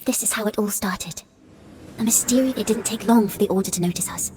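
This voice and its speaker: A woman's voice: mid-range voice, a little fast, mellow, faint music behind, close to an everyday voice. An actress playing an earnest young songwriter in a video game, confiding her family's secret in scripted monologue. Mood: wistful.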